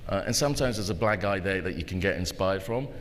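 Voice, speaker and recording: Deep-voiced man reflecting on a panel. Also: animated, fast talker. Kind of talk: reflective talk on a conference panel. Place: indoor event hall, live panel. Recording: echoey hall with background noise.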